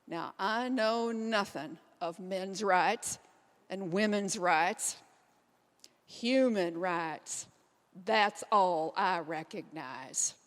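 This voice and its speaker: A woman playing a resolute nineteenth-century women's rights writer, delivering an earnest, emphatic readers theater monologue. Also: medium pitch. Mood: firm, earnest. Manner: deliberate, emphatic oratory. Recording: reverberant hall.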